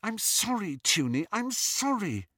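A man voicing a young girl who pleads tearfully with her sister, in a soft, earnest voice near tears. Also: high voice, very animated, measured pace, no echo, clean studio sound, pitched above the performer's own voice, heavily acted. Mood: pleading.

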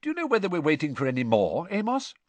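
A man's voice: on the high side, huge pitch swings, fast, no echo, pitched above the performer's own voice. A man voicing a mild, friendly middle-aged wizard father in an audiobook, polite and amiable. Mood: brisk.

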